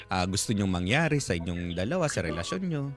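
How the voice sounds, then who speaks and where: A man's voice: low voice, sing-song, brisk. A man with a Filipino accent hosting a radio advice show, casually interviewing a caller on air. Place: radio studio. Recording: music playing behind the voice. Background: music.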